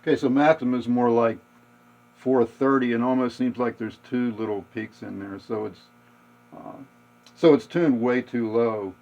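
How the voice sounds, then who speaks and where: A man giving a casual hands-on technical demonstration, thinking aloud in a halting, matter-of-fact way. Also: medium pitch, fairly even intonation, creaky, darkish tone. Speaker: radio repair hobbyist demonstrating. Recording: dull lo-fi home video audio.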